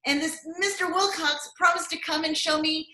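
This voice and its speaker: Woman playing a bright, high, eager housewife. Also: steady tone, moderate pace, slight background noise. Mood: eager, effusive. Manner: effusive, eager. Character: busy, eager, gushing suburban housewife. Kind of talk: old-time radio comedy acting. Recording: roomy, echoing remote recording.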